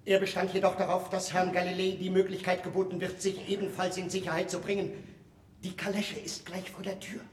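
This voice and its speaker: A man's voice: high-pitched, monotone, a little fast, natural voice. A man playing a courteous court messenger in a radio drama, announcing formally. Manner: formal, official. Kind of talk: German radio drama acting. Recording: distant, echoey, faintly noisy recording.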